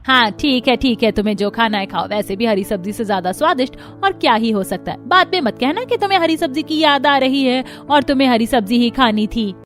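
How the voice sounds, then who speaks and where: A woman's voice: on the high side, a little fast, few pauses, faint music behind, lightly acted. A woman playing a stubborn, opinionated mother in a family cartoon, with an emphatic, argumentative way of talking. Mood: defiant. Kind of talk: Hindi family cartoon voice acting.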